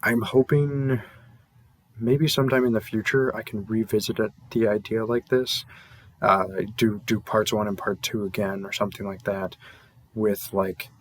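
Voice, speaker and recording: A man's voice: fairly low pitch, fairly even intonation, moderate pace. A man who makes audio roleplays, talking casually and off the cuff to listeners in an earnest, hesitant way with trailing asides. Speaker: audio roleplay creator and performer. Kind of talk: casual, unscripted thank-you to listeners.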